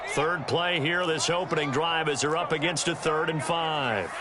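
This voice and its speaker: A man voicing an adult football play-by-play announcer in a video game, calling each play in a brisk, measured way. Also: on the high side, very noisy, lightly acted. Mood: steady calling. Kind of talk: video game sports commentary.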